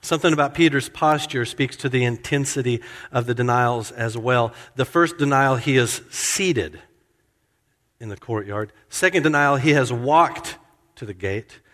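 A man delivers an expository sermon, explaining each verse in turn. He acts out quoted lines in a raised, animated voice and then drops back to plain teaching. His mid-range voice carries a long reverb, as if heard in a large church. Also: mid-range voice, unhurried. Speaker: pastor. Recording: hall reverb.

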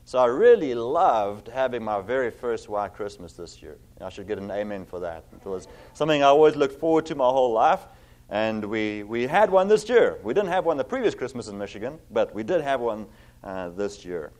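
A middle-aged man from South Africa with a South African accent, preaching a sermon as a visiting pastor, earnest with many fillers, reading scripture slowly and with emphasis. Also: mid-range voice, very animated, a little mellow.

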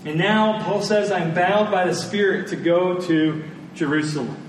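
A man's voice: sits high, fairly even intonation, unhurried. Male preacher, conversational, rhetorical questions, distant mic, heavy room echo, faint noise. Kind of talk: sermon.

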